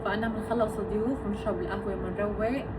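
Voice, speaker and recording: A woman's voice: mid-range voice, fairly even intonation, racing, heavy background noise. A woman from Aleppo with a Syrian accent, a mother chatting casually and explaining things at length to her viewers. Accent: Aleppo Syrian Arabic. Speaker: mother and cooking vlogger.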